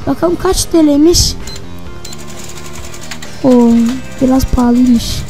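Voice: high voice, slow pace, mellow, soft background music. A gaming video host giving casual, chatty commentary, often repeating words over and over. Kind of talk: casual let's-play commentary.

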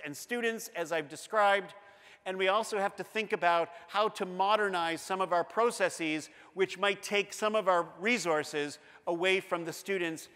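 A man's voice: sits high, sharp tone. A man in his fifties with a Canadian accent, giving an earnest, emphatic conference keynote as a school board director on a lapel mic in a large hall. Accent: Canadian English. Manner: earnest, emphatic, gestures while pacing the stage.

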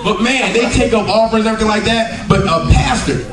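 An American man in his thirties performing animated, colloquial stand-up storytelling for a church audience. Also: high voice, brisk, loud music behind the voice. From United States. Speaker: stand-up comedian. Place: indoors in a church sanctuary. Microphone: handheld mic through speakers, picked up by far camera. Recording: echoey church hall, distant camera, loud audience. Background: loud laughing audience.

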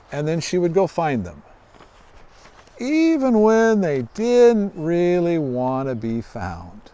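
A middle-aged man reading a children's storybook aloud outdoors in a deliberate, expressive way. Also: a little high, no echo. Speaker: guest author reading own children's book. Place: outdoors on a grassy lawn by trees.

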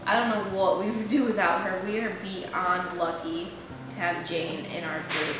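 A woman in her twenties, an exchange student, giving animated, wry interview answers. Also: on the low side, normal speed, echoey room, noisy background.

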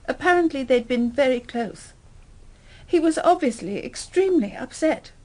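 High-voiced, shaken wife. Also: female voice, lively intonation, darkish tone. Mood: distressed. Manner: halting, stammering, apologetic. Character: shaken, distraught wife recounting a violent death. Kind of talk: radio drama acting.

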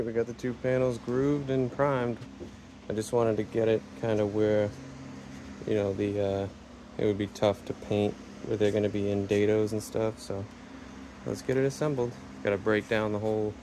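A man casually talking through a carpentry build as he works, chatty and relaxed. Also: on the low side. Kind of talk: casual build vlog narration. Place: workshop and home interior.

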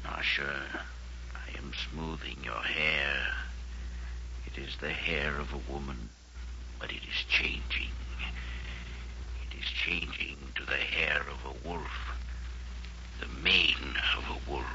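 An actor playing a sinister, commanding stage hypnotist in an old radio drama, with theatrical, menacing delivery. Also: male voice, extremely low pitch, steady tone, pushed and bright, exaggerated voice. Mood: hypnotic. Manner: theatrical, slow, menacing intonation. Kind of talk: 1940s radio drama acting. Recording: muffled, noisy old-time radio recording with hum.

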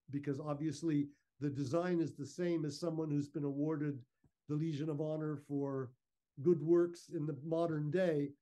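A man giving an informal, measured, explanatory slide talk over a video call. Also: on the high side, mellow. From Canada. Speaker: guest speaker, historical reenactor. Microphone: video call microphone.

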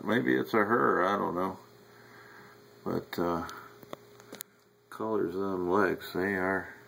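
A man's voice: on the low side, gravelly, slight background noise, rough recording. A man from upstate New York talking casually and folksily while filming in his cool basement on a handheld camera. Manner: folksy, unhurried, with frequent fillers. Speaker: home videographer. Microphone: handheld camera mic.

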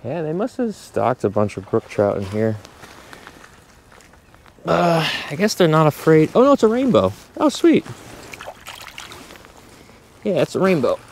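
Casual angler vlogger, man, unhurried delivery, lively pitch, recorded outdoors on a camera mic. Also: a little hiss or hum. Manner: relaxed, mutters to himself, excited bursts when a fish bites. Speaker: angler filming his fishing trip. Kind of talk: casual self-narrated fishing vlog. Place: outdoors by a brook from a small boat.

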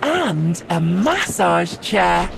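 A woman voicing a gentle, practical cartoon mother pig with a British accent. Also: fairly low pitch, very animated, very slow, gravelly, exaggerated voice. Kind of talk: children's cartoon acting. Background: music.

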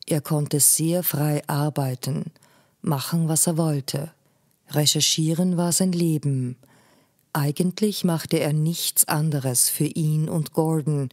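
A woman narrating a literary story in a measured way, phrase by phrase. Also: sits low, darkish tone, dry recording, clean studio sound. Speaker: audiobook narrator.

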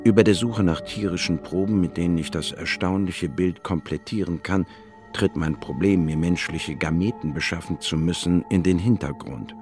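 An actor playing an inquisitive, wry male biologist, narrating in a measured, dry manner in a radio drama. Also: deep voice, a little mellow, faint music behind, lightly acted. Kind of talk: scripted first-person radio drama narration.

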